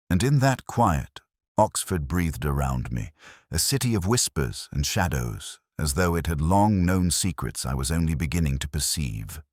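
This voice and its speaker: A man reading scripted first-person detective fiction in a measured, contemplative, literary manner, as a narrator. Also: rumbling low, dry recording, studio quality.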